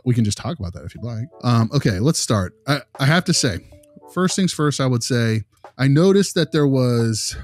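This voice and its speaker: A man playing a patient behavior consultant who gives feedback in a halting, rambling, reassuring, conversational way. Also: on the low side, mellow, lightly acted. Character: male behavior consultant, patient, diplomatic, encouraging. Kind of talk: conversational role-play of a consultation.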